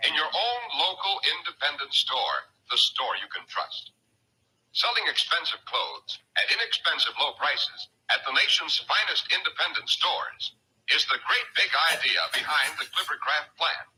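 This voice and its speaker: A man's voice: a little high, sing-song, moderate pace, sharp tone, rough recording. An American man reading a 1940s radio advertisement as a polished, emphatic announcer. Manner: polished, emphatic salesman delivery. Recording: old radio recording with faint noise.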